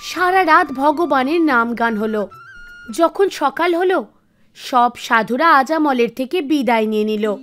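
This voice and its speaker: A woman reading scripted narration for an animated devotional tale, with music behind her. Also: high-pitched, a little slow. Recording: music bed under the voice. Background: background music.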